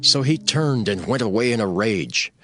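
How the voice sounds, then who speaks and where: Male narrator, low register, lively intonation, dramatized scripture, faint music underneath. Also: moderate pace.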